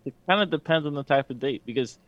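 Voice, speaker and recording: A man's voice: medium pitch, fast talker, no echo, dull audio. A man co-hosting a podcast, chatting casually and joking in a laid-back way, often filling with "like". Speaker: podcast co-host. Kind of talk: casual banter.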